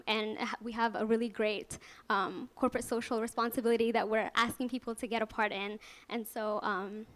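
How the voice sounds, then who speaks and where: A young woman from Ethiopia pitches her student startup to a live audience. Her voice sits somewhat high, and she sounds nervous but earnest, laughing often and leaning on fillers. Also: fairly bright tone. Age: young adult. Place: venture lab event room.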